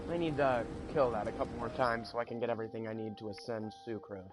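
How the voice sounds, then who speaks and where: A man's voice: mid-range voice, fairly even intonation, quick pace. A man doing casual gameplay commentary as a streamer, thinking aloud and muttering through lists that trail off. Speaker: game streamer. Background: game music.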